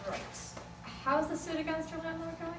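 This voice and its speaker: A woman's voice: extremely high pitch, little pitch movement, normal speed. A woman playing a wry, needling, level-headed young office assistant in a comedic script read. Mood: curious. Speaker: actor at a script table read. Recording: echoey room, distant mic, noisy.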